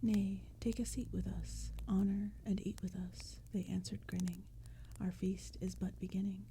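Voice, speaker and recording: A woman reading poetry as ASMR narration in a soft, breathy, hushed whisper, gentle and measured. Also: medium pitch. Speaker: narrator and reader. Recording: background crackling fire and forest ambience. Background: crackling fire and forest sounds.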